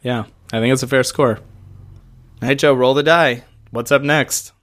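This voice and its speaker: A man's voice: medium pitch, fairly even intonation, moderate pace, a bit bright. A man co-hosting a casual podcast who rambles through lists, laughs often and swears now and then. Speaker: podcast co-host.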